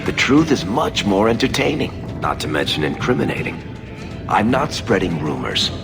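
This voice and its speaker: An actor playing a wry, roguish tavern gossip in a video game, conversational and teasing. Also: male voice, fairly low pitch, sing-song, moderate pace, vocal fry. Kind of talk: video game dialogue acting. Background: game music.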